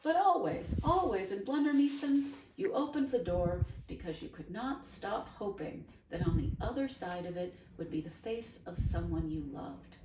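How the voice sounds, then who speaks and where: A woman voicing a warm, dreamy older neighbor who speaks in a lilting, emphatic way, read aloud in a roomy space from a distance. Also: mid-range voice, animated, normal speed, few pauses, lightly acted. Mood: fond. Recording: roomy, distant mic, faint hiss.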